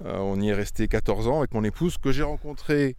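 A man's voice: medium pitch, a little fast, darkish tone, clean tone, no echo. A middle-aged man from Auvergne, a winemaker explaining his vineyards in a casual interview, thoughtful and often repeating words as he goes. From Auvergne, France. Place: outdoors among vineyards.

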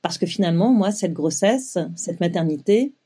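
Hesitant woman in her forties, mellow voice. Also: fairly low pitch, lively intonation, normal speed, husky. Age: 40s. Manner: hesitant, many fillers and restarts. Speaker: guest, web publisher. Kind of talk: casual interview storytelling.

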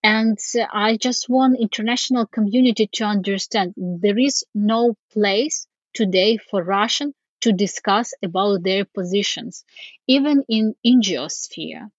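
A woman with a Ukrainian accent answers interview questions slowly and earnestly, searching for words. The recording is dry and a little dull. Her pitch sits in a middle range. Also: no room sound. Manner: earnest, halting, many fillers. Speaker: foundation CEO, guest.

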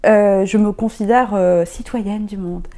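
A woman's voice: mid-range voice, fairly even intonation, unhurried, dark tone. A middle-aged woman who grew up in Germany, talking as an interview guest in a hesitant way, with many restarts and fillers. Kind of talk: casual interview talk.

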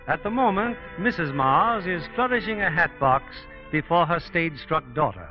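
Lively 1940s radio announcer, fairly high-voiced man. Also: moderate pace. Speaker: announcer and narrator. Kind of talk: 1940s radio scripted narration. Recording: dull 1940s radio recording over music.

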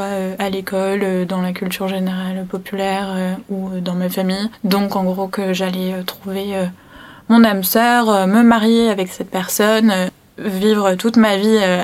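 A student in her twenties, a woman giving a thoughtful, hesitant personal testimony. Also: medium pitch. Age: 20s. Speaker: student interviewee.

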